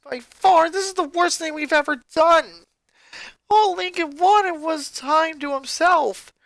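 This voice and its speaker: A narrator putting on a high, anguished teenage rocker girl. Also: slow, a bit bright, dry recording, full character voice. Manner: emphatic, wounded pleading. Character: teenage rocker girl, passionate, emotional sister.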